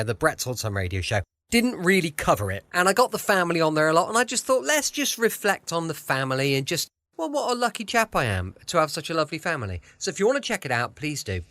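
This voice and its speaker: An English man chats casually as a podcast host. His fairly high voice is very animated in pitch. The sound is close and dry. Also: fast talker, hardly any pauses, no echo. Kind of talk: casual chatty show introduction. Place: close, dry home studio.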